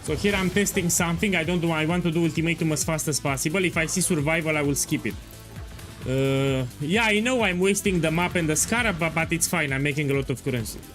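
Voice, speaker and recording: A man explains his gameplay choices on stream in a chatty, repetitive way. His voice sits a little high for a man, with clear music playing behind him. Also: quick pace, a bit bright. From Romania. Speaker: game streamer. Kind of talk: casual gameplay commentary.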